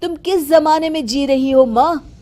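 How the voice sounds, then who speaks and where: Woman voicing a firm, emphatic, high-voiced father. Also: unhurried, music in the background. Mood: indignant. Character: indignant, protective father standing up for his daughter. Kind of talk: cartoon morality tale voice acting.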